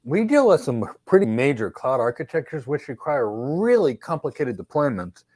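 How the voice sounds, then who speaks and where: A man running a mock job interview, upbeat and encouraging as he asks his questions. His voice sits at a medium pitch, recorded close and dry. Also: sing-song, moderate pace, darkish tone, no room sound.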